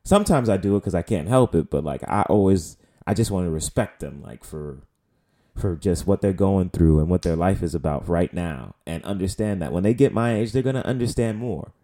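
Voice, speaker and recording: Laid-back New York man, low dark voice. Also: animated, fairly quick. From the Bronx, New York. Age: late 20s. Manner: loose, profane, rambling with many fillers. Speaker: podcast host. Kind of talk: casual solo podcast talk.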